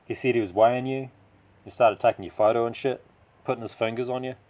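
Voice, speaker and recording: A man voicing a rough-living taxi driver with a dark past, rambling confidingly and insistently in slangy New Zealand speech. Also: mid-range voice, fairly even intonation, fast talker, lightly acted. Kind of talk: character dialogue in audio story.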